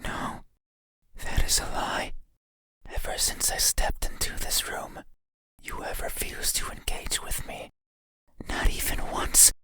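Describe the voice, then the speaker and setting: A man voicing an eerie, lonely monster in a horror game, pleading and resentful in a breathy, formal, deliberate ASMR whisper. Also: bright, forceful tone. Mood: resentful, obsessive. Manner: slow, deliberate, formal, brooding.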